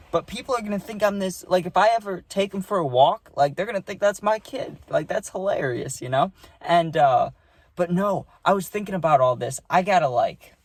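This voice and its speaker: An excitable young man of about twenty tells a casual story. His voice sits a little high for a man and often jumps up as he gets worked up. The sound is close and dry, with no room around it. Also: no echo. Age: 20s. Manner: rambling, excitable, says "right?" and "dude" a lot. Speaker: vlogger.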